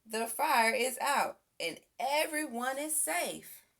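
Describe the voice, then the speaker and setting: A woman reading a children's picture book aloud as an expressive storyteller. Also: high voice, takes it slowly, vocal fry. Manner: expressive, acts out sound effects and exclamations. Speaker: storybook reader.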